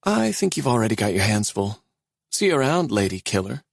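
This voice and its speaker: A man's voice: on the low side, very animated, fairly quick, gravelly, dry recording, pitched above the performer's own voice, exaggerated voice. A man voicing a wry, guarded young college woman who teases dryly. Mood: pointed. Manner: dry teasing.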